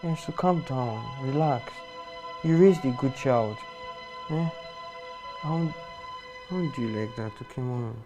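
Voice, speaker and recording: An actor playing an evasive young adult son in a film drama, with a Nigerian accent and a pleading, defensive manner. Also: male voice, medium pitch, a little slow, pauses often, over music, lightly acted. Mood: placating. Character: evasive, placating grown son under family pressure.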